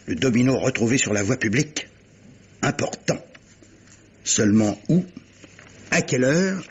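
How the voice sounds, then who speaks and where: A shrewd army captain sleuthing in a vintage French radio mystery. His voice is very husky and swoops widely, with emphatic, theatrical coaxing, all on a muffled recording. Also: medium pitch, full character voice. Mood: brisk, intrigued. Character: shrewd, genial army captain turned amateur detective. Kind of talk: 1950s French radio drama acting.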